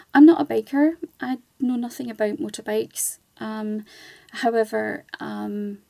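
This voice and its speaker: A woman's voice: on the high side, creaky, dark tone, no echo. A middle-aged woman from Scotland, guest on a charity radio show, chatting casually with lots of ums. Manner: chatty, many ums, laughs easily.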